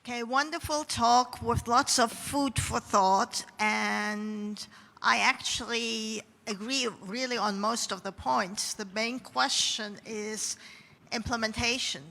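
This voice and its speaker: A woman from the audience asks the speaker a question slowly and deliberately. Her voice is bright and fairly high with a gravelly edge. The long reverb of a large hall surrounds her. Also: steady tone. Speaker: audience member asking a question. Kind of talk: question from the floor.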